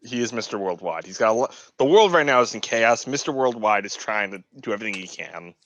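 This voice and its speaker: A man's voice: fairly low pitch, expressive, fast talker, fairly bright tone, no room sound, highs cut off. A man co-hosting a podcast, giving his opinions in casual chat. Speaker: podcast co-host.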